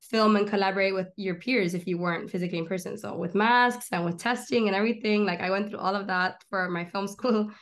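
A young woman in her twenties, born in Miami and raised in Argentina, telling her story as an interview guest. She talks in quick, lively run-on stretches, like someone gesturing as she goes. The sound comes through a laptop webcam on a video call from a small room at home. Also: medium pitch. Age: 20s. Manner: animated, gestures a lot, rapid run-on storytelling. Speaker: guest, comedian and filmmaker.

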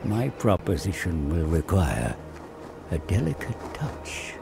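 Old scheming scholar, grave game-cinematic delivery, slow with dramatic swings over music. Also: male voice, sits low, big pitch swings, creaky, heavily acted. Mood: brooding, scheming. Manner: grave, theatrical, deliberate. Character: weary, scheming old scholar cursed to serve a tome. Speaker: narrator and advisor character.

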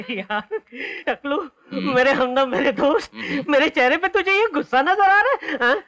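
A woman's voice: high voice, measured pace. Woman playing a never-angry man losing it. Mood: furious shouting. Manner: calm and polite, then shouting and crying in a rage. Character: mild-mannered man who boasts he never gets angry. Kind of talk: comic sketch acting.

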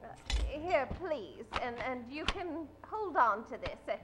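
A woman's voice: high-pitched, big pitch swings, unhurried, over music. A middle-aged woman playing a fretful, sweetly fussy dressmaker in old-time radio comedy, hesitant and quavering. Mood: sweet, flustered. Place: theatre stage. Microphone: vintage-style stand microphone.

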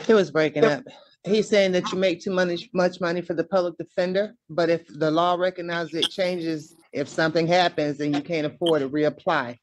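A man's voice: on the high side, steady tone, normal speed. A man working as a court deputy, giving procedural instructions and reading out records. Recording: slightly noisy court video link.

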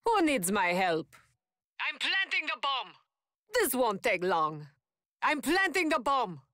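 An actress voicing a tough, confident, protective female soldier in short, forceful video game combat lines. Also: sits high, very animated, slow pace, no echo, studio quality, full character voice. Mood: confident, defiant. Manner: short, forceful battle callouts.

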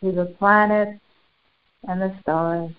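A woman leading a guided spiritual meditation in solemn, formal, deliberate phrases with long pauses. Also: medium pitch, fairly even intonation, clear, resonant tone. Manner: solemn, formal, ceremonial wording. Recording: muffled, phone-like narrow band.

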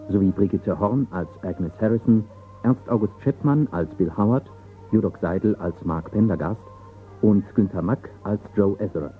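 A man reading formal scripted credits as a radio announcer. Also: on the low side. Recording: muffled phone-like old radio recording.

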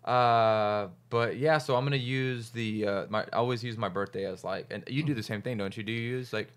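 A man in his thirties hosting a podcast from a small studio into a boom mic, chatting easily with laughs and trailing fillers. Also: a little fast, smooth. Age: 30s. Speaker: podcast host, restaurant owner. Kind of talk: casual chat. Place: small studio office with monitors. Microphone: large studio mic on boom arm.

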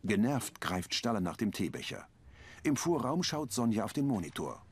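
A man narrating audio description for a TV crime comedy in a calm, even reading voice. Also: on the low side, moderate pace, slight background noise.

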